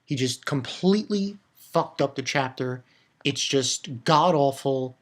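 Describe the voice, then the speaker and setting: Casual vlogger voice for a manga rant: medium pitch, blunt, foul-mouthed, mocking, animated. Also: slow.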